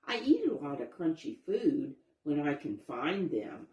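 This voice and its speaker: A mild, well-behaved young storybook wolf voiced by a woman speaks gently and a bit hesitantly. The pitch moves a lot, the pace is slow, and the room echoes. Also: very animated, lo-fi sound. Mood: placid. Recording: roomy, echoey sound.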